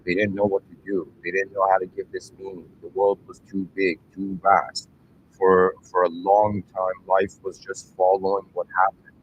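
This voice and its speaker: Man, thirties, low deep voice, rambling reflective monologue, frequent throat clearing, earnest, faint rumble behind. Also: completely flat delivery, normal speed, lo-fi sound. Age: 30s. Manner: rambling, searching, many fillers and restarts; earnest, addresses listeners directly. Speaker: channel host.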